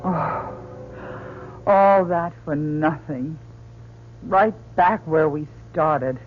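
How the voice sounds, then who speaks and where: A woman's voice: low voice, extremely slow, faint music behind. A woman playing a brave, devoted doctor and astronaut with earnest, dramatic delivery in an old radio drama. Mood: dejected. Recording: muffled, noisy old radio recording.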